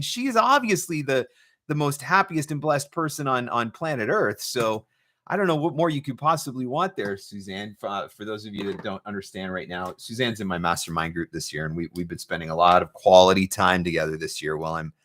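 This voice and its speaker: Male livestream host. Crisp, bright, forceful delivery in a medium register, loose conversational banter. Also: medium pitch. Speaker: livestream host and voiceover marketing coach. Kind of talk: casual live chat, off the cuff.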